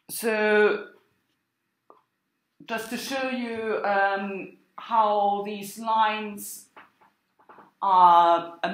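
A woman giving an academic lecture, explaining in a halting way as she thinks aloud. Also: lowish voice. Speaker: university lecturer. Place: indoor room with echo. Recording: echoey room.

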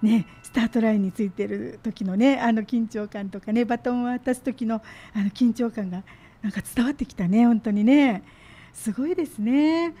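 A woman's voice: a little high, normal speed, warm tone. A woman hosting a casual radio interview with children in a small studio, echoing and affirming what they say in an encouraging way. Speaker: radio host. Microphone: studio mic on boom arm.

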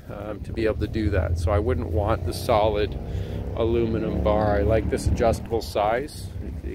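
A man with a fairly low voice talks through a laid-back product review outside his house. He chuckles and rambles a bit as he films on a handheld phone. Steady outdoor noise sits under the voice. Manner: relaxed and chatty, with chuckles and fillers. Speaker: homesteading vlogger.

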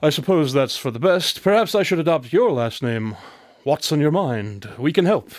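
An actor playing a witty, aloof detective in a radio drama, speaking formally, precisely and with dry teasing. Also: male voice, a little high, lively intonation, normal speed, no echo, lightly acted. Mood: dryly teasing.

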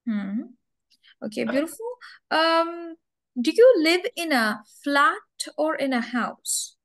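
A woman's voice: high-pitched, lively intonation, slow pace. A young woman teaching English, who runs a mock speaking test and patiently repeats her questions and key words. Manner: patient, coaxing, repeats words many times. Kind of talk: slow, repetitive test questioning.

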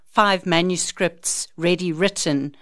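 A woman's voice: lowish voice, slow, no room sound, studio quality. A woman, interviewing a guest conversationally as a podcast host.

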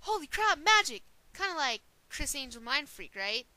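A woman voicing an excitable, naive teenage boy hero in a fan parody dub of a video game. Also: sits high, normal speed, no echo, exaggerated voice. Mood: amazed.

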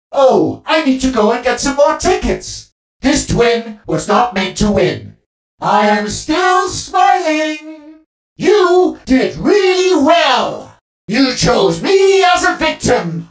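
High chirpy little robot ticket guy, super eager rule nerd, swoops all over, game voice. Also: huge pitch swings, takes it slowly, roomy sound, recorded from a distance, soft background music, full character voice. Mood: dejected. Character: cheerful, rule-obsessed robot ticket attendant, eager and optimistic. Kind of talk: video game character voice lines.

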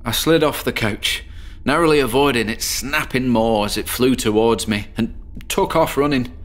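An actor playing a terrified, paranoid male patient in a horror audio drama, speaking haltingly and sliding into panic. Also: medium pitch, a bit bright, lightly acted. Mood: haunted. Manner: slow halting speech breaking into panic.

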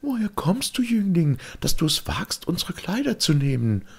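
A man's voice: high voice, very animated, normal speed, gravelly, slightly rough, pitched above the performer's own voice, exaggerated voice. A man voicing a proud, bashful young maiden of heaven in a fairy tale, haughty and pleading. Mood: indignant.

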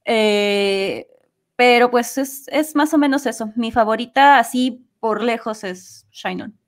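A woman's voice: fairly high pitch, slow. A woman talking casually as an interview guest about her work as an amateur singer. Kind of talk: casual chat.

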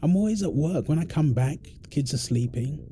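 A man playing an affectionate, tired husband and father in an intimate audio roleplay, talking tenderly with soft endearments. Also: a little high, animated, brisk, natural voice. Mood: caring, relaxed. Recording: clear background ambient noise.

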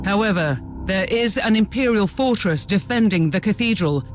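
A dutiful male starship captain from a video game. His voice sits quite high, and he reports formally and alertly. Faint music runs underneath. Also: expressive, moderate pace. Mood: reporting. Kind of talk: video game cutscene acting.

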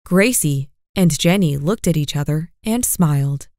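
A woman reading a children's story aloud as a storyteller, slow and expressive. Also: low voice, no echo, clean studio sound.

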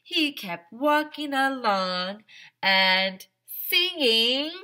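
A woman in her thirties, a teacher, reading a picture book aloud to young children in a theatrical, exaggerated, sing-song manner with drawn-out words. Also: a little high, expressive, pushed and bright. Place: small decorated room, indoors. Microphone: headset.

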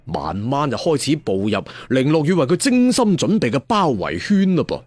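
Animated male Cantonese storyteller. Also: medium pitch, no room sound. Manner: theatrical, conversational asides, drawn-out emphatic endings. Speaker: storyteller narrator. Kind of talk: dramatic serial fantasy storytelling.

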